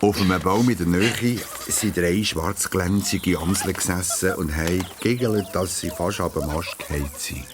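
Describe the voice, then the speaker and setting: A man's voice: sits low, normal speed, hardly any pauses, noisy background. A man narrating a children's audio story in a storytelling style. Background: loud guitar music.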